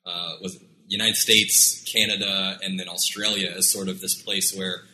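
Deep, bright-toned male interviewer. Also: flat delivery, normal speed, a little hiss or hum, poor audio. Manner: hesitant, restarts questions. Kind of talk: conversational interview questions.

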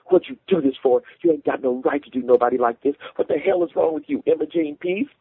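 A man's voice: sits low, animated, moderate pace, breathy edge, no room sound, narrow, tinny audio, exaggerated voice. A man voicing a volatile, wounded Southern father, commanding and anguished in rural dialect. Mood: anguished rage.

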